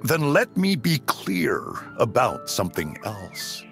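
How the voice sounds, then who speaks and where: A man voicing an earnest, gravelly young noble. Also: medium pitch, wildly swooping pitch, takes it slowly, heavily acted. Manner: earnest, gentle, formal. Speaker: voice actor. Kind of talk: audiobook drama acting. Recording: over soft background music.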